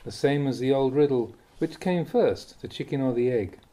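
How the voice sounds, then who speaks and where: A man reading Buddhist teachings aloud as a scripted narration, in deliberate, short phrases. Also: mid-range voice, dark tone. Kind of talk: scripted audiobook reading of a Dhamma talk.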